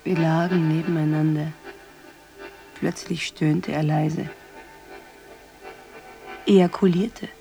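Give me a dark-voiced, laconic call girl. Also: low-pitched, takes it slowly, gravelly, long pauses, faint music behind, heavily acted. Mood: detached, confiding. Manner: deadpan, laconic. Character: matter-of-fact female call girl, unsentimental and discreet. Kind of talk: German radio drama acting.

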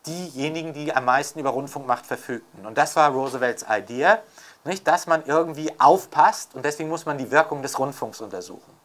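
A man's voice: fairly high pitch, lively intonation, a little fast, fairly bright tone. Older man, reflective and emphatic scholar. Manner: reflective, emphatic, with frequent rhetorical tags. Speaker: author and scholar interviewed about Adorno. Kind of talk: unscripted intellectual interview talk.

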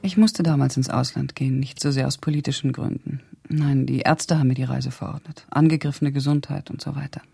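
A woman's voice: rumbling low, steady tone, fairly quick, creaky, warm tone, slightly rough, heavily acted. An actress playing an educated young Russian woman who recalls events reflectively and precisely in a radio drama. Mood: wry. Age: young adult. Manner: reflective, precise, descriptive storytelling. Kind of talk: radio drama monologue as recollection.

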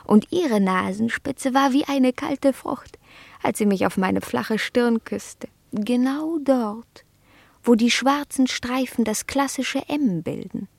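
An actress playing an indolent, fastidious pet cat who philosophizes in a languid, haughty radio-play manner, savoring the words. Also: female voice, on the high side, creaky, no echo, full character voice. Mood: dreamy, self-satisfied. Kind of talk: German radio play acting.

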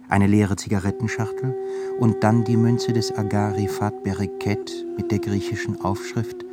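A man narrating a radio drama in a measured, solemn way. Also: lowish voice, heavy background noise.

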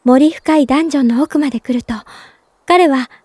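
A woman playing a gentle, polite young woman in love, narrating in character for a drama CD with sweet earnestness. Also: high-pitched, a little fast, no echo, studio quality, lightly acted. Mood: fond, serene.

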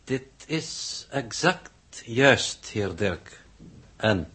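Cold, formal, slightly husky coordinator. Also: male voice, mid-range voice, expressive, extremely slow, sharp tone, exaggerated voice. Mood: icy, impatient. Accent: Netherlands Dutch. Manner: formal, clipped, detached. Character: cold, precise coordinator, man, coolly insistent. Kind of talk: 1960s Dutch radio drama acting.

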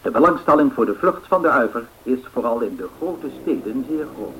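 Man acting as old-time radio news announcer, 1930s, formal n measured, mid pitch, radio play. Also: animated, a bit bright, a little hiss or hum, lightly acted, poor audio. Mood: formal, factual. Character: male radio news announcer of the 1930s reading race bulletins.

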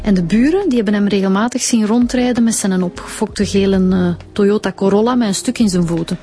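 A woman's voice: mid-range voice, a little fast, mellow, faint music behind, lightly acted. A woman with a Flemish accent playing a police inspector in a radio drama, reporting findings in a matter-of-fact way.

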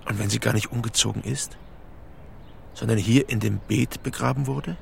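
A man playing an earnest, level-headed sheriff's detective in a German audio drama, speaking in a measured, matter-of-fact way. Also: medium pitch, steady tone, gravelly, a little hiss or hum.

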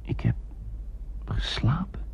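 An actor playing a lonely, withdrawn, weary man in a radio play, husky and hesitant, with sparse words. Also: slow pace, darkish tone, in a whisper, natural voice. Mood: withdrawn, drowsy. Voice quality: husky, gravelly. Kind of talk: intimate radio play acting. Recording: dull lo-fi old radio recording.

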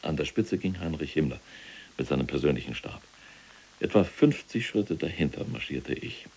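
A man's voice: very deep voice, expressive, moderate pace, gravelly, a little mellow, husky, full character voice. An actor playing a proud, unrepentant older SS general in prison, boastfully and precisely recounting his deeds in a radio play. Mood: proud, solemn. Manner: boastful, precise, military enumeration. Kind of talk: German radio play dialogue.

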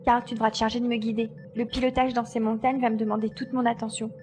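A woman's voice: a little high, little pitch movement, fast, darkish tone, soft background music, natural voice. A woman playing a determined, commanding glider pilot in a French audio drama. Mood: focused. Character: determined female glider pilot searching for her babies.

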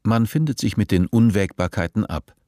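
A man narrating a dystopian radio play in a measured, detached, literary manner. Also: fairly low pitch, animated, warm tone, no room sound, clean studio sound, lightly acted. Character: male narrator of a dystopian story.

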